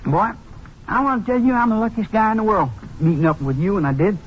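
An actor playing an excitable, gullible young car thief in a 1950s radio drama, talking in an eager, boyish, slangy way. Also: male voice, high-pitched, quick pace. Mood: grateful, chummy. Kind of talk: 1950s radio western crime drama acting. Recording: dull, faintly noisy old-time radio recording.

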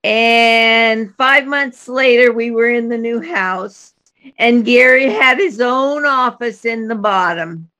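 An older woman from the United States, a guest telling an anecdote in an unhurried way with light laughs. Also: a little high, sharp tone. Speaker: guest, co-founder of an institute. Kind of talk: storytelling in conversation.

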